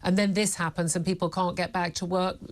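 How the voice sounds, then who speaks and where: A woman's voice: fairly low pitch, little pitch movement, a little fast. A British woman presenting a live news interview in a chatty, emphatic and opinionated way.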